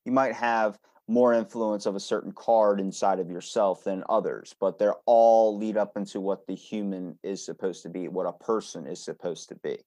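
A man's voice: fairly low pitch, fairly even intonation, normal speed, hardly any pauses, dark tone, no echo. Casual male podcast host, very dry sound. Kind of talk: casual, introspective podcast chat.

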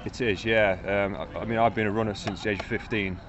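A father talks in a calm, measured way. His voice is very deep. He is recorded outdoors with a lively crowd around him. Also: lo-fi sound. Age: 30s. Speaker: parkrun runner, father.

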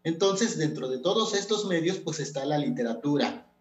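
A man's voice: fairly high pitch, expressive, moderate pace. A man from Mexico whose first language is Totonac, a poet speaking earnestly and hesitantly as a guest in a remote interview. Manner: earnest, hesitant, many fillers. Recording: echoey lo-fi remote audio.

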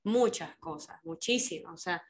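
A woman's voice: on the low side, lively intonation, normal speed, a little hiss or hum. A woman co-hosting a podcast, agreeing often and talking chattily with fillers. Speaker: podcast co-host. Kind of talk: reflective podcast conversation.

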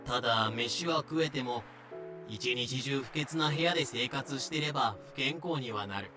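A man's voice: mid-range voice, unhurried. Matter-of-fact male narrator over music. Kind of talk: scripted explanatory narration. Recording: music behind the voice.

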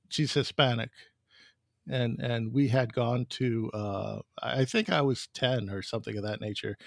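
Rambling podcast host with a gravelly voice. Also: male voice, medium pitch, no room sound, clean studio sound. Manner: rambling, many fillers and repeated words. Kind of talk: casual interview conversation.

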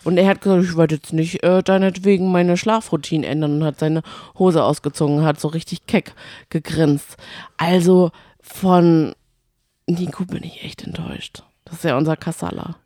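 A woman's voice: fairly low pitch, steady tone, normal speed, dark tone, no echo, clean studio sound. A woman co-hosting a podcast, chatting casually about reality TV with gushing, emphatic opinions. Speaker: podcast co-host. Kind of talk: casual reality-TV recap chat.

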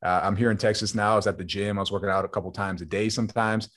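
Fast-talking low-voiced man telling stories. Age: 20s. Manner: relaxed, rambling, says "man" often. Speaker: guest, former football player turned entrepreneur. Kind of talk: casual interview storytelling.

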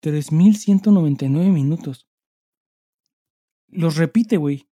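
A man's voice: fairly high pitch, a little slow, mellow, dry recording, studio quality. A Mexican man co-hosting a podcast in casual chat, swearing freely and speaking with emphasis in heavy slang. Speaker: podcast co-host.

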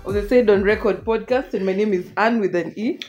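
A woman's voice: mid-range voice, a little fast, a little mellow, dull audio, soft background music. A young woman with a Kenyan accent co-hosting a podcast, teasing her co-host and laughing often as she switches between English and Swahili. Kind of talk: casual banter.

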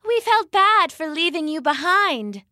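A woman's voice: very high-pitched, sing-song, unhurried, bright, forceful tone, dry recording, clean studio sound, pitched above the performer's own voice, heavily acted. A woman voicing a caring female mummy in a storybook reading, speaking earnestly.

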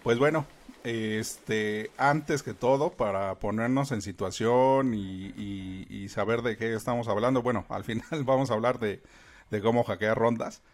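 Guy hosting a podcast, lots of "este", lowish voice. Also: a little slow. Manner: friendly, filler-heavy questions with "este". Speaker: podcast host. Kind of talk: casual interview hosting.

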